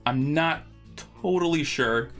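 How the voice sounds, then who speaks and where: A man's voice: fairly high pitch, slow pace, a bit bright. A man hosting a live drawing stream, chatting casually and informally as he rambles and thinks aloud. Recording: music playing behind the voice. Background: background music.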